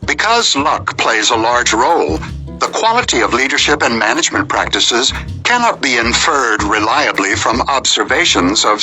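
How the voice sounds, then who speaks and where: A man reading nonfiction as an audiobook narrator in a measured, articulate, emphatic delivery. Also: mid-range voice, pushed and bright, poor audio.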